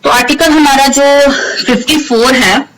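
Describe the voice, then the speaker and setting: A woman with a Pakistani accent gives an informal explanatory lecture as a teacher, moving between everyday explanation and slowly read English phrases. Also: sits high, fairly even intonation, fairly bright tone, low-quality recording. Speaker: law teacher. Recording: noticeable background noise.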